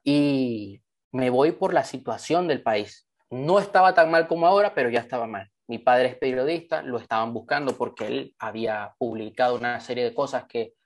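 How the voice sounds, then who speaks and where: A man's voice: mid-range voice, moderate pace. A young man from Venezuela giving an online training talk over a video call, earnest and enthusiastic as he tells his story. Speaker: motivational trainer.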